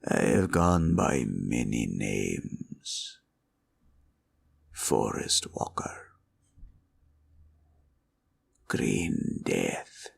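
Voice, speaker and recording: A man playing a proud, cunning green dragon in a villain roleplay audio, with a taunting drawl and a gravelly growl. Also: very deep voice, very animated, drawn-out words, exaggerated voice. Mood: grand, boastful. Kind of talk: roleplay ASMR villain monologue.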